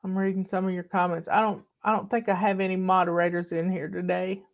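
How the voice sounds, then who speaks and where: A woman's voice: lowish voice, flat delivery, fast talker, vocal fry. Folksy older Southern woman telling stories. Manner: folksy, chatty, bossy. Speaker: online host. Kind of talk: casual storytelling chat. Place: home in the mountains.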